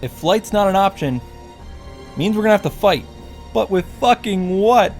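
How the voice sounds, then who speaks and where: A man's voice: high-pitched, expressive, normal speed, darkish tone, faint music behind. A man giving a sarcastic, profane recap and commentary on a visual novel, as the host of a video. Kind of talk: game recap with commentary, reading lines aloud.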